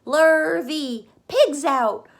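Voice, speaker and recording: A woman playing an alarmed farm wife from a storybook, shouting in short, repeated cries. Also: high-pitched, drawn-out words, vocal fry, voice pushed up, full character voice.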